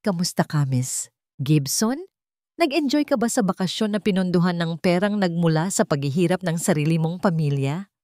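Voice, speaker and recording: A woman narrator plays a wealthy villainess of an audio love story, mocking and theatrical. Her low voice is deliberate and full of sarcasm, recorded dry. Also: expressive, dark tone, dry recording, lightly acted. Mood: sarcastic taunting.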